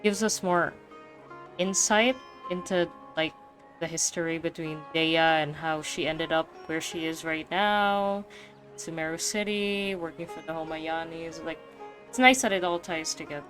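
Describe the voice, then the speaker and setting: A woman's voice: deep voice, fairly even intonation, a little slow, a bit bright, rough recording. A woman game streamer giving casual, slangy, reactive commentary on a playthrough. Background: game background music.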